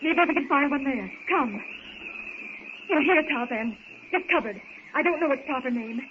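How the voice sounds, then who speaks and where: A woman's voice: high-pitched, expressive, normal speed. An actress playing a refined, anxious but capable young woman in a 1930s radio serial, explaining things with patient urgency. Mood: cautious, explaining. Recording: dull, hissy 1930s radio recording.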